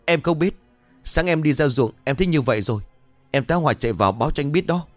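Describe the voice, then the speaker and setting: A male storyteller puts on a scared young farmhand in a village horror tale. The voice is raised high, deferential and panicky over music. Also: expressive, moderate pace, dry recording, lightly acted. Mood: alarmed. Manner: panicked high-pitched crying out.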